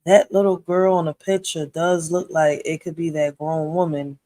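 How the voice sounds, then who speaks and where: A man in his thirties hosts a casual reaction video, speaking bluntly, swearing and thinking aloud through rhetorical questions. His voice sits a bit high. The sound is dry and close, with no room around it. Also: level tone, moderate pace, dark tone, no room sound. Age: 30s.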